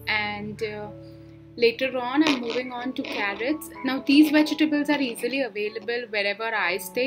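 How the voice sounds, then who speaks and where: A young woman giving calm step-by-step cooking instructions as a voice-over for a home meal prep video. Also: fairly high pitch. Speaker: cooking vlogger. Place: home kitchen, indoors. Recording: voice-over over clear background music. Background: background music.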